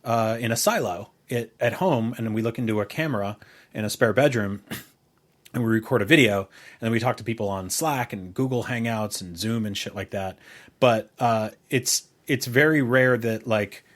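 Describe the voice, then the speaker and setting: A middle-aged man chatting casually as a podcast co-host, rambling and halting, with colorful comparisons. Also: fairly low pitch. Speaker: podcast co-host, video host and writer. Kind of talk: casual conversational podcast chat.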